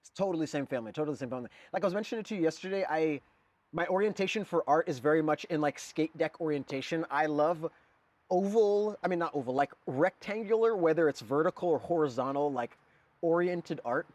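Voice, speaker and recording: A man's voice: a little high, fairly quick, darkish tone, no room sound. A man in his forties who grew up in Germany, a guest artist talking casually and rambling, with lots of fillers and "dude". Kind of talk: casual conversation.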